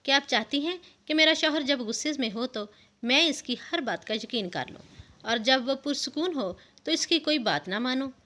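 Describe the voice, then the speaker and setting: A woman voicing a wise, patient elderly wife who gives calm, assured advice in a narrated story. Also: sits high, moderate pace. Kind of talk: storybook narration of interview answers. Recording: music under the voice.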